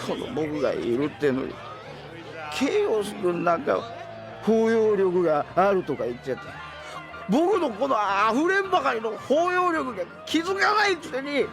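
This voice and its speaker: A man's voice: high voice, sing-song, moderate pace, vocal fry, over music, full character voice. An actor playing a whiny, timid, lovesick young male subordinate in an audio drama, flustered and melodramatic. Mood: sulky. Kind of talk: Japanese audio drama acting.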